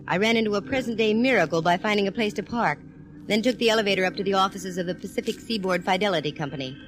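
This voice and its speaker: An actress playing a wisecracking private detective who also narrates, in a brisk 1940s radio drama. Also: female voice, mid-range voice, close to an everyday voice, rough recording.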